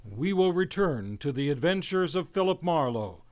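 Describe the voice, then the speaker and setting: A man's voice: on the high side, big pitch swings, moderate pace, darkish tone, faint music behind. A man announcing a radio drama in a scripted old-time style.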